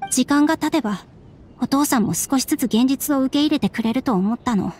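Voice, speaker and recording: Sad resigned young anime game girl, kinda high voice, measured and serious, music behind. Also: darkish tone. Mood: wistful, hurt. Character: subdued, resigned young woman, estranged daughter of strict scholar. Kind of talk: Japanese anime game voice acting.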